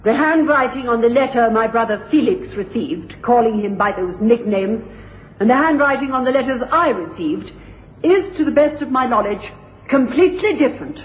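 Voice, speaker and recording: A woman's voice: a little high, expressive, moderate pace, slight background noise, lightly acted. Woman playing proud Victorian sister, formal, emphatic, radio drama, long hall reverb, muffled sound. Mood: firm, defiant. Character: proud, impassioned upper-class Victorian sister, formal and defiant. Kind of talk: courtroom radio drama acting. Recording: dull radio recording, long hall echo.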